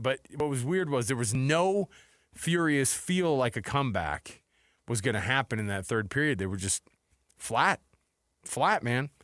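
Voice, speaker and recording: A man's voice: medium pitch, a bit bright, smooth, dry recording, clean studio sound. A middle-aged American man hosting a sports radio show, talking casually and conversationally about games. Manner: informal, conversational. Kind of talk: casual sports talk with commentary. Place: radio studio. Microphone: close broadcast microphone.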